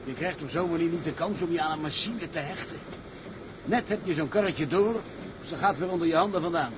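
A man's voice: a little high, a little fast, noisy background, natural voice. A man playing a sober, sceptical ship's engineer in a seafaring drama, with a wry, down-to-earth manner. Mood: grumbling. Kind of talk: dramatised seafaring story, scripted acting.

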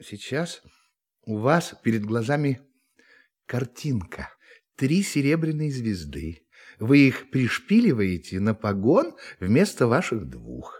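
An actor in a radio play voicing a polite, whimsical, impulsive alien tourist who speaks in a hesitant, musing way. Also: male voice, mid-range voice, very animated, slow, a little mellow, husky, full character voice. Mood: calm, curious.

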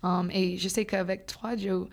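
A young woman from Louisiana whose first language is English, a French teacher talking casually as a podcast guest, halting and full of fillers, slipping in English words. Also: mid-range voice, flat delivery. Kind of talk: casual conversation.

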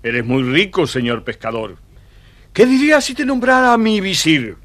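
An actor playing an imperious, excitable sultan in a theatrical children's story recording, commanding and exclamatory. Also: male voice, high-pitched, normal speed. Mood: curious, gracious.

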